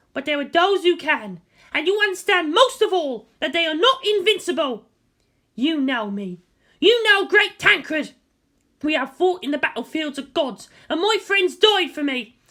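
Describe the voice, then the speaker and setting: Narrator playing teenage girl soldier, high raised voice, forceful, impassioned, commanding, rallying troops in an audio story. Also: heavily acted. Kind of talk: shouted battlefield speech in audiobook reading.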